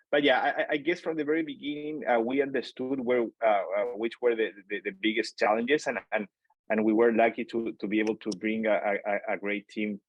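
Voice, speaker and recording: Halting Chilean-born man, low voice. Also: no echo, muffled recording. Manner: halting, many fillers and repeated words. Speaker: company founder, guest. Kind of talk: casual interview storytelling.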